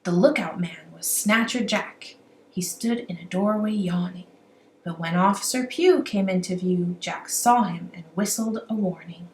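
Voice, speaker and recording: A woman's voice: on the low side, a little slow. Woman reading a rhyming storybook, roomy echo. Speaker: amateur children's book reader. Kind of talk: animated rhyming storybook read-aloud. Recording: roomy echo, faint background noise.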